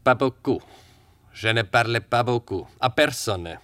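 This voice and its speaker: A man plays a guarded old campaigner in a radio drama, a taciturn former soldier. He speaks slowly at a medium pitch, giving terse, evasive answers in a dry recording. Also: no room sound, lightly acted. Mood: terse, resigned. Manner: terse, flat one-word answers, evasive.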